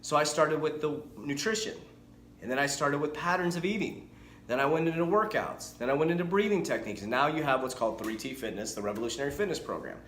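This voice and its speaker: A man's voice: fairly high pitch, quick pace, roomy sound, slight background noise. A man in his forties, a fitness coach, talking energetically and chattily to camera with frequent restarts. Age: 40s. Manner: energetic, chatty, self-correcting. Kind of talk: casual talking-head vlog.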